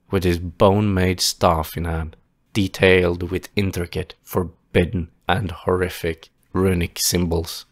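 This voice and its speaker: A man narrating a fantasy story as a scripted audio play, with a solemn, measured, literary storytelling delivery. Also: low-pitched, dry recording, clean studio sound. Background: music.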